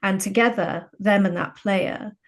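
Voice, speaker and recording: A woman's voice: medium pitch, unhurried. A woman speaking as a podcast guest, telling anecdotes and examples in a conversational, reflective way. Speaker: podcast guest, games writer.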